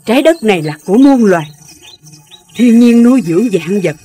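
A woman voicing a solemn, forgiving mother of nature in a children's cartoon, speaking in measured proclamations. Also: fairly high pitch, expressive, warm tone, slightly rough. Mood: solemn, benevolent. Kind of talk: animated children's cartoon dubbing.

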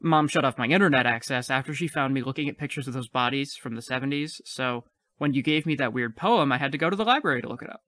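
A man's voice: mid-range voice, quick pace, a bit bright, dry recording, lightly acted. A man playing a frightened but defiant, clever child in an audio play. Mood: earnest explaining.

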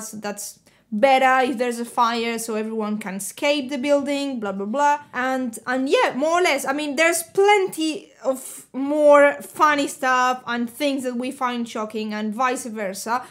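A young woman with a Spanish accent chats to the camera from her living room in a high, bright voice. She talks in a lively, casual way, stopping for "um" and "like" and often restarting her sentences, with the camera some distance away. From Spain. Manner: chatty, animated, many fillers and restarts, hand gestures. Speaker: vlogger.

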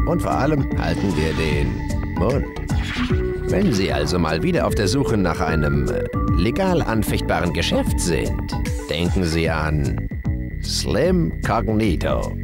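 A shady back-alley dealer in a video game advert, low and gravelly. His pitch is sly and conspiratorial, over loud music. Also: heavily acted. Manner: sly, conspiratorial sales patter. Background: prominent music.

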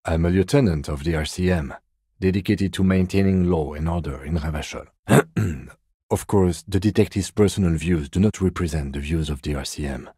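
An actor playing a composed, dry-witted police lieutenant in a video game, measured and formal, with a husky voice. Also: male voice, sits low, a little mellow, no echo. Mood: restrained, formal. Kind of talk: scripted game dialogue.

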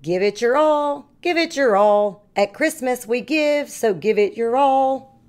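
A woman's voice: fairly high pitch, expressive, a little slow, a little mellow. A woman reading a storybook as a small, upbeat male cat hero, exclaiming and chanting cheerfully. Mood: cheerful, determined.

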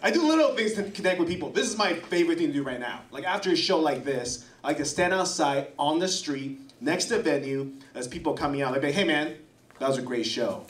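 A man from Taiwan, a native Mandarin speaker, doing a stand-up set on a club stage into a handheld mic, delivering punchlines in an animated way. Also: fairly high pitch, fast. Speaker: stand-up comedian. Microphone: handheld mic, far camera. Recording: roomy club sound from far off. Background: audience laughter.

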